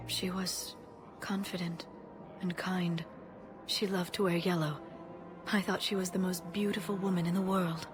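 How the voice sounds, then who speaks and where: An actress playing a soft-spoken female alien scholar in a video game, gentle, wistful and slightly shy. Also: on the low side, little pitch movement, unhurried, over music, natural voice.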